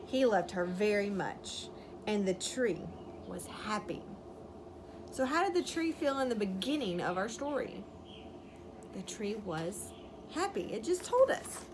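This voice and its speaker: A woman teacher reading a picture book aloud to young children, pausing to explain words simply and ask questions. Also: medium pitch, sing-song. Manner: instructive, explains words simply, checks understanding. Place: outdoors. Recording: clearly noisy outdoor ambience, wind chime. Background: birds chirping, wind chime.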